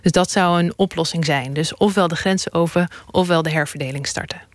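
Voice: medium pitch, brisk, no room sound. An interview guest reasoning step by step in a reflective, argumentative way, like an academic in a studio conversation.

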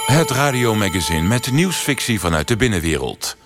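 Male radio announcer over loud music. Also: fairly low pitch, big pitch swings, normal speed. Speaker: programme announcer. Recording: loud keyboard music underneath.

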